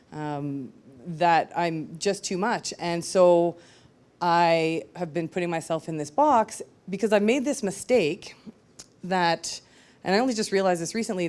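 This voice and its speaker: Lower-pitched woman sharing a personal story. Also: a little mellow. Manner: candid, conversational, self-reflective. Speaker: speaker at a live storytelling show.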